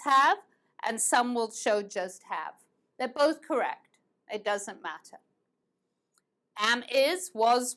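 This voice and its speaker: A woman's voice: high voice, fairly bright tone. A woman teaching an online English grammar lesson, patiently repeating verb forms. She grew up between the United States and England.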